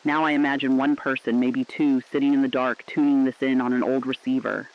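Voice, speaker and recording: A man's voice: medium pitch, flat delivery, a little fast, highs cut off, natural voice. A man playing a mournful but defiant last radio broadcaster, speaking solemn, earnest, poetic lines in a scripted drama. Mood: mournful, haunted.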